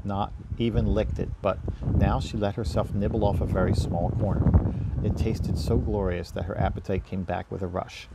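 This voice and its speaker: A man's voice: low voice, vocal fry, heavy background noise. A man reading a children's storybook aloud as narrator. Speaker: story reader.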